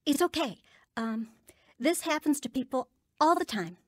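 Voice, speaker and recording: A woman's voice: sits high, expressive, normal speed, dry recording, studio quality. An actress playing a pragmatic young woman in an audio drama, reassuring and coaxing her friend. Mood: soothing, urgent. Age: young adult.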